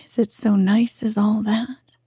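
A woman voicing a shy, wide-eyed young male mole, speaking in a soft whisper. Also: mid-range voice, level tone, a little slow, slightly rough, no echo. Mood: shy wonder. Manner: whispered, timid.

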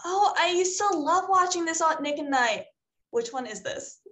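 High chirpy voice, woman acting a super perky neighbor, thirties, over-friendly, sitcom script read. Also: animated, moderate pace, fairly bright tone. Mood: bright, perky. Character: super perky, eager-to-please thirty-four-year-old suburban neighbor woman. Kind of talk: comedy script table read. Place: home room on a video call.

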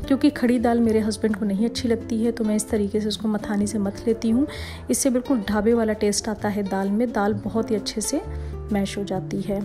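A young woman with a Rajasthani accent, narrating a cooking vlog and explaining each step calmly. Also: mid-range voice. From India. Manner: steady explanatory, step-by-step instructions. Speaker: vlogger.

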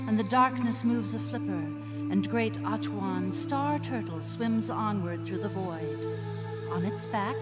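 A middle-aged woman acting as a wry, grand narrator in a live comic fantasy radio play. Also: mid-range voice. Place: live stage at a convention. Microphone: stand microphone. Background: music.

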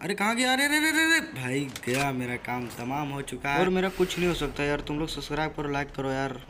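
A hoarse young man gives rowdy, joking, trash-talking commentary over his own gameplay, recorded without a proper mic. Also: a little high, big pitch swings, a little fast, sharp tone, slight background noise. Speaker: gaming YouTuber. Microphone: built-in device mic, no external mic.

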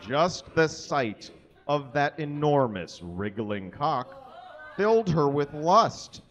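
A man in his thirties gives a live reading of a story from a small stage. His voice sits a little high and swings widely in pitch. He speaks into a microphone as a performer reading from a page. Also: very animated, slow. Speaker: reader at a live comedy show. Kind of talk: dramatic reading of fan fiction to an audience. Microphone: handheld or stand microphone.

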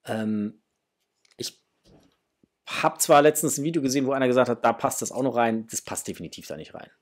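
A middle-aged man talks casually to his viewers as the host of an unboxing video. His voice sits at a medium pitch. He sounds enthusiastic and generous with his approval, speaking from a small room at home. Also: expressive, moderate pace. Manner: enthusiastic, gushing. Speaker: host of a toy collecting channel.

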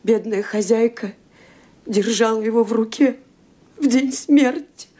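An actress playing a respectful, helpful housemaid in a radio play. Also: female voice, on the high side, unhurried, mellow. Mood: earnest. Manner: respectful, eager to help. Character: dutiful young female housemaid. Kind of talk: Soviet radio play acting.

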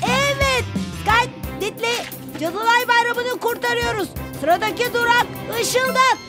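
A woman voicing a cheerful, excitable young bus in a dubbed cartoon. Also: very high voice, slow pace. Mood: excited. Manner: bubbly, excitable, giggly. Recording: loud music under the voice.

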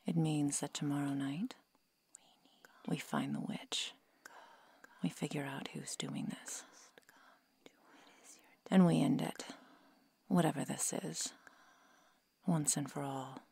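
A woman playing a protective, coolly menacing vampire seductress in a hushed, deliberate, coaxing ASMR roleplay whisper. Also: deep voice, lively intonation, creaky, slightly rough, exaggerated voice. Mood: grim resolve.

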